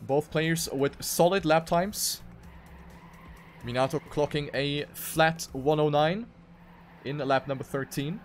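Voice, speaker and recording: Male esports caster for live race play-by-play, fairly high voice, emphatic repetition, light music underneath. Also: slow pace. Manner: animated play-by-play, repeats phrases for emphasis. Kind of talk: live racing game commentary.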